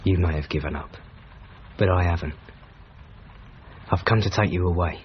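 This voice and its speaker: An actor in a radio drama playing a loyal, idealistic middle-aged travelling companion, earnest and pleading. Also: male voice, deep voice, moderate pace, lightly acted. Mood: hopeful, urging. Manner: earnest, urging delivery. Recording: dull, slightly noisy radio recording.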